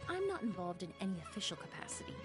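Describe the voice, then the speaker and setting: A woman's voice: medium pitch, sing-song, rapid-fire, over music, close to an everyday voice. A woman voicing a poised young perfumer in a video game, courteous, articulate and precise as she explains things. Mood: explanatory, composed. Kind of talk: video game character dialogue.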